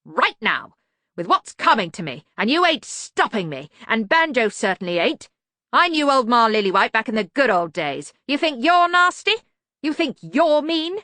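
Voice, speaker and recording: An older male locksmith of the criminal sort, acted out by a woman, blusters through a storybook. The voice is raised and forceful, full of scorn and rough colloquial grammar. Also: on the high side, sing-song, normal speed, dry recording, voice pushed up. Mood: scornful fury. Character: angry older male locksmith, cocky working-class criminal. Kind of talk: audiobook character voice.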